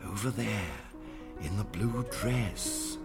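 A man's voice: on the low side, slow, over music. An actor playing a flamboyant male socialite who teases and gushes theatrically. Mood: gushing. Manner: gushing, theatrical, teasing. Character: flamboyant middle-aged male socialite matchmaker.

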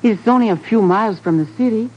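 Actress as gracious young daughter, muffled radio. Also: female voice, medium pitch, fast talker, close to an everyday voice. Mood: gracious, fond. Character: gracious, devoted young Mexican daughter of a landowner. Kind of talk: 1950s radio drama acting. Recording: muffled, noisy 1950s radio recording.

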